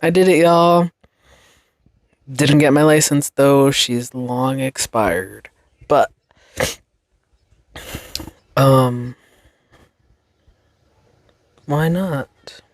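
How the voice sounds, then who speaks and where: Young woman, solo podcast host, slow and meandering delivery, dry close mic. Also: rumbling low, no room sound. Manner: slow, reflective, rambling with fillers. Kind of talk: casual solo podcast monologue.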